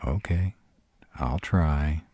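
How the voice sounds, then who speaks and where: A man's voice: rumbling low, dark tone, slightly rough, dry recording, full character voice. A man playing a sarcastic, brooding male vampire in an intimate roleplay audio drama, proud and guarded but secretly smitten. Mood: grumbling, sardonic. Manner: sardonic, exasperated, halting when vulnerable.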